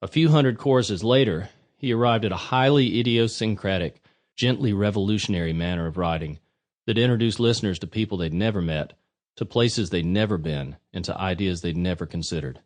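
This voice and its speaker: A man's voice: low voice, fairly quick. A man narrating a nonfiction audiobook from a prepared script.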